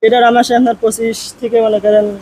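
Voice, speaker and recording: A man's voice: very high voice, flat delivery, fairly quick, warm tone. A man with a Bangladeshi accent from the Jessore region, a farm caretaker giving short, plain interview answers. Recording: noisy on-location farm recording.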